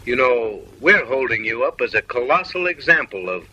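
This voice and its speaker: A man's voice: mid-range voice, big pitch swings, moderate pace, bright, forceful tone, low-quality recording. A man narrating a comic short film in voice-over, mock-refined and wry, lecturing ladies with teasing, suggestive asides.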